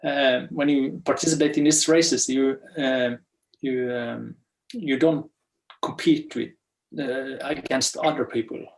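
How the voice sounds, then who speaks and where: A man in his fifties with a Norwegian accent speaks English as a second language. His voice sits at a middle pitch with a gravelly, fry-laden edge. He talks in a halting, stop-start way, over a video call. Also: rough recording. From west coast of Norway. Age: 50s. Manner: halting, many fillers and restarts. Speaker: guest, ultra runner. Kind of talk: casual storytelling in a webinar.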